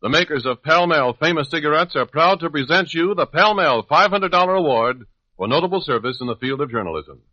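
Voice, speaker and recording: A man's voice: fairly high pitch, lively intonation, fairly quick. A man announcing for 1950s radio with an emphatic, polished sales delivery. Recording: dull old radio recording.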